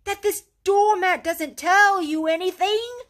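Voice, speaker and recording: A woman voicing a kindly, excitable male rat who talks briskly and eagerly. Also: medium pitch, vocal fry. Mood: excited.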